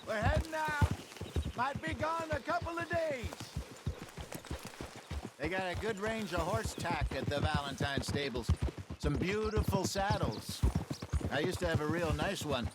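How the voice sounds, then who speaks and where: Jovial American Western outlaw, folksy and teasing, high voice with big swings, horse sounds loud behind. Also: male voice, lively intonation, a little slow, long pauses, very noisy. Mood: reminiscing. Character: jovial, confident middle-aged male Old West outlaw. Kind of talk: naturalistic video game cutscene acting. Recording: clear outdoor game sound effects, horse hooves.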